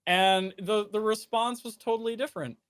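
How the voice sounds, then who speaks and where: A man in his late twenties from the United States talks things through earnestly, pausing on fillers and restarting his sentences. His voice sits a bit high for a man, and the sound is dry and close with no room around it. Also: steady tone, a bit bright, clean tone, no room sound. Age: 20s. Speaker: streamer guest. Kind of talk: casual conversation.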